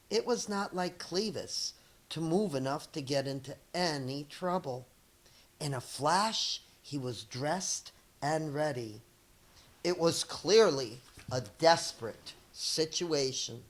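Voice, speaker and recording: A middle-aged woman reads a children's story aloud like a schoolteacher. Her voice sits a little low, and she takes her time with deliberate, expressive phrasing. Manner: slow, deliberate, acts out dialogue.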